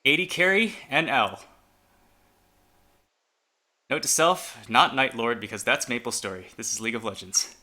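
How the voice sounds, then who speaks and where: A man's voice: mid-range voice, moderate pace, pauses often, sharp tone. A man whose first language is Chinese, casting an esports match in a casual, chatty way and announcing players one by one. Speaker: esports caster. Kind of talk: fan esports commentary.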